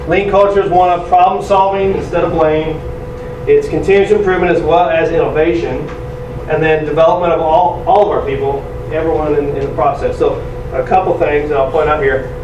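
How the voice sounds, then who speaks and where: A man in his forties giving a loud, energetic, self-deprecating presentation to a conference audience. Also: fairly high pitch, highs cut off. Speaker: conference speaker. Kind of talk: presentation talk. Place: large conference room. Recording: echoey room from far off, noisy.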